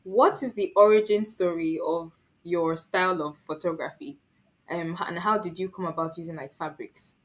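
A woman's voice: fairly low pitch, moderate pace. A young woman hosting an interview podcast, introducing her guest and asking questions in a friendly, upbeat way, close to a studio mic. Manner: friendly, upbeat, with brief encouraging backchannels. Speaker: podcast host. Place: home room with art on the wall. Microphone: large studio microphone with headphones.

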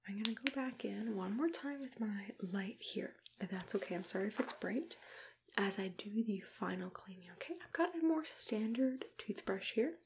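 A young woman whispering gently and soothingly close to the mic, in an ASMR roleplay as a dentist. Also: mid-range voice, expressive, moderate pace, vocal fry, a little hiss or hum. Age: young adult. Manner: soothing, gentle, hesitant murmured phrasing. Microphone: close to the microphone.

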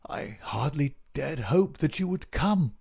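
A man voicing a gravely ill, semi-delirious character in a storybook, pleading weakly in a halting, gasping whisper. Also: a little high, lively intonation, a little mellow, voice pushed up, full character voice. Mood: feeble. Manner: slow, feeble, halting pleading.

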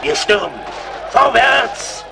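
An actor playing a fierce, bold frontier fighter in an adventure radio play. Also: male voice, very high voice, big pitch swings, slow pace, gravelly, over a noisy crowd, heavy background noise, exaggerated voice. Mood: commanding. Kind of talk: dramatic German radio play acting.

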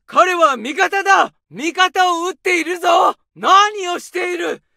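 An aggressive young infantryman; high, slow shouted callouts with a gravelly edge. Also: no echo, studio quality, full character voice. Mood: alarmed shouting. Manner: barked orders and battle shouts. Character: young male Japanese infantry soldier, aggressive. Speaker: soldier voice lines. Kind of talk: game combat callouts.

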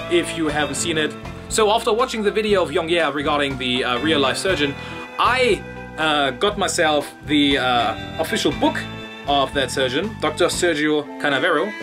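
A young man in his twenties with a German accent, earnestly talking through a game theory from his bedroom, with a few filler pauses. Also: fairly high pitch. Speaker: YouTuber. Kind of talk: game theory video commentary. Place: small bedroom with shelves, indoors.

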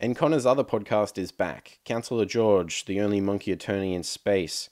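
A man's voice: on the low side, normal speed, darkish tone, dry recording, clean studio sound. A man hosting a casual podcast outro with wry, joking asides. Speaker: podcast host and creator.

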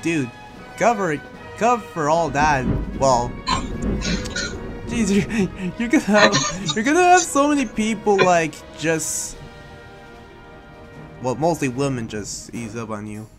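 A man's voice: fairly high pitch, lively intonation, slow pace, pauses often. A man playing a friendly, awkward young demon slayer in improvised game roleplay, talking in a hesitant, rambling, casual way. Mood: casual, teasing. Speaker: roleplayer in a Minecraft anime roleplay. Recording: music playing behind the voice.

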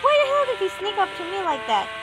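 A woman reading a visual novel aloud as she plays, with expressive, excitable delivery. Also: extremely high pitch, quick pace, low-quality recording. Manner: expressive, excitable, swearing in asides. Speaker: gamer recording a playthrough. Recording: loud music over the voice. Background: loud intense game music.

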